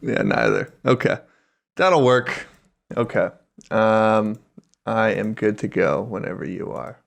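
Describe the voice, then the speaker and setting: A man's voice: lowish voice, slow pace, creaky. A man co-hosting a comedy podcast, chatting in an informal, joking way. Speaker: podcast co-host providing music. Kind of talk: casual comedic chat.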